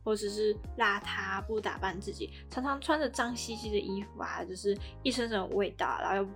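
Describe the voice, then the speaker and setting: Female podcast co-host, Taiwanese Mandarin accent, mid pitch, conversational explainer, music bed underneath. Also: fairly quick.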